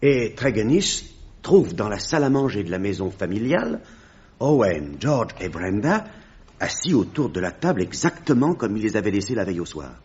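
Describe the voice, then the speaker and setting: A grave village pastor in a radio mystery. His low voice is husky and swings expressively as he recounts horrors in a measured, dramatic way. The recording is dull and lo-fi. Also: big pitch swings, darkish tone. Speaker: actor in a radio mystery play. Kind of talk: French radio drama acting.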